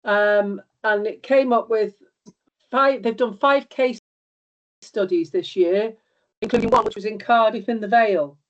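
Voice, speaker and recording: A woman with a cold and a slightly squeaky voice reports to a meeting over a video call. She speaks steadily, with a few ums and restarts. The call audio is dull and muffled. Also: measured pace. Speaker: regional covenant liaison officer. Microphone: remote video call.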